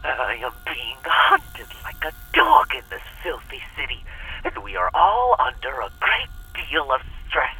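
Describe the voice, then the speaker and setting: An actor playing a fanatical, hunted cult leader in a horror audio drama, theatrical and manipulative, preaching and pleading on a taped message. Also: male voice, medium pitch, sing-song, a little slow, bright, forceful tone, distant mic, exaggerated voice, rough recording. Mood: pleading, urgent. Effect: played back as a taped message.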